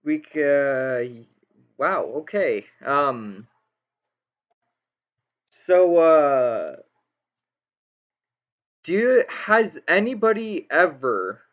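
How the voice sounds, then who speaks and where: A man's voice: fairly high pitch, sing-song, very slow, long pauses. A man hosting a casual gaming interview, talking in an informal, rambling, slangy way. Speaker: interviewer, gaming channel host. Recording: dull lo-fi voice recording.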